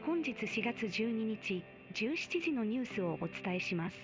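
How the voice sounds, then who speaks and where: Formal female news announcer, acted by a woman, measured read, dull broadcast audio, clear music underneath. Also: a little high, sing-song. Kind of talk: scripted formal news bulletin.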